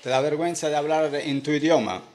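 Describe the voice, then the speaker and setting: A man's voice: on the high side, fairly even intonation, fairly quick, noisy background. A middle-aged man from Italy who speaks Spanish with an Italian accent, answering questions earnestly as a former police officer guest on local radio. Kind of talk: casual radio interview answers. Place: radio studio.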